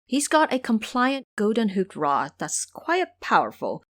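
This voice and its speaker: A woman's voice: on the high side, huge pitch swings, unhurried, fairly bright tone, no room sound, voice pushed up, full character voice. A woman acts out an authoritative guardian god in a mythic audio drama. He is stern and knowing, cautioning emphatically with very varied intonation. The recording is dry. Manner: emphatic, explanatory.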